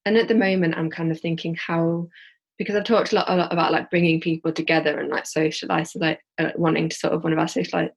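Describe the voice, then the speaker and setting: Yorkshire woman, guest, husky and gravelly, talks in rambling restarts. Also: sits low, level tone, quick pace. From Yorkshire, England. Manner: rambling, thinks aloud with many restarts. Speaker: guest, social enterprise founder. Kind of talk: casual interview chat.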